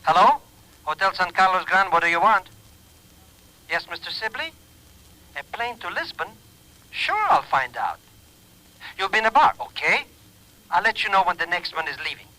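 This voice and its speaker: Shrill, excitable hotel clerk. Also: male voice, normal speed, pauses often, full character voice, rough recording. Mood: harried, excitable. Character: flustered, fussy hotel switchboard clerk. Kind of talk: 1940s radio acting. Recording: old radio recording, faint music.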